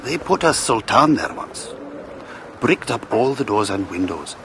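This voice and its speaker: A man's voice: lowish voice, very animated, a little slow, noisy background. A man playing a worldly, wry ship's captain in an audio drama, telling tales in a knowing, teasing way. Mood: eerie storytelling.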